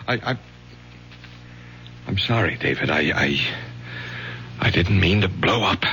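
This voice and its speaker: Stern, low-voiced radio drama father. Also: expressive, slow pace, pushed and bright, full character voice. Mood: defensive, hurt. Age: middle-aged. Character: stern, commanding middle-aged father, a college professor. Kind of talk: old-time radio drama acting. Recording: noisy old radio broadcast with hum.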